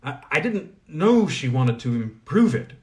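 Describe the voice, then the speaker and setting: A man voicing an eager, humble young man who stammers in his excitement. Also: mid-range voice, measured pace, pitched above the performer's own voice.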